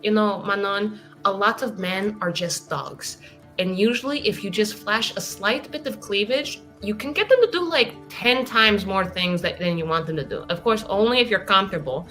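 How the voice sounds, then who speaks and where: Woman playing a bright, swooping, chatty flirt. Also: medium pitch, animated, normal speed, faint music behind, close to an everyday voice. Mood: cheeky, reassuring. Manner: gushing, cheeky, rambling.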